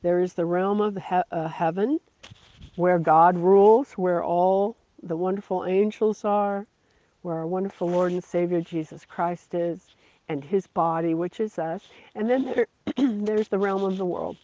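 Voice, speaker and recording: A preacher giving a slow, earnest devotional sermon, repeating phrases for emphasis. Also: mid-range voice, mellow. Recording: dull lo-fi recording.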